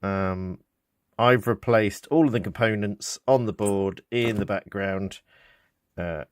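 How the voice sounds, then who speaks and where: A man's voice: on the low side, slow pace, no room sound, clean studio sound. A British man giving a casual, unscripted hands-on demonstration, thinking aloud in a hesitant way. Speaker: hobbyist repair presenter. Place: indoors, small office.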